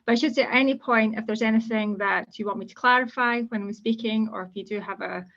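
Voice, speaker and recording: A woman with a Scottish accent giving an online careers presentation as a guest speaker, explaining in a steady, informal way. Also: a little high, fast. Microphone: video call. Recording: dull lo-fi call audio.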